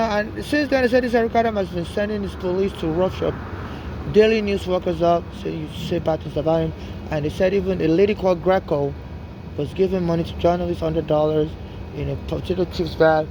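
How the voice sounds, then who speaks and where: A woman's voice: on the low side, noisy background. A woman from Nigeria with a mixed Nigerian and American accent, talking urgently in a rambling street monologue full of restarts and "you know". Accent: Nigerian-American mix.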